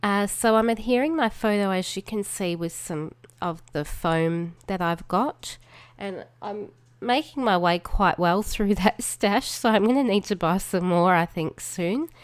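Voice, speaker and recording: Aussie woman doing a slow chatty craft voiceover, bit snuffly w a cold, smooth clear voice. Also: medium pitch, no echo. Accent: Australian. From Australia. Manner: chatty, relaxed, many fillers and trailing asides. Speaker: crafting channel host.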